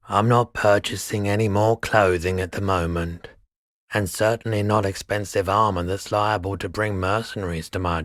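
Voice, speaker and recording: Mild pawn shop keeper, low-pitched male voice, soft-spoken, courteous, reflective, world-weary, dry studio sound, game dialogue. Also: dry recording. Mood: politely firm. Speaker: video game voice actor.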